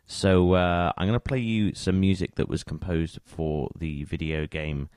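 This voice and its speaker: A man's voice: sits low, fairly even intonation, unhurried, darkish tone, clean tone, no echo, studio quality. A man hosting a music radio show, introducing tracks in a chatty style.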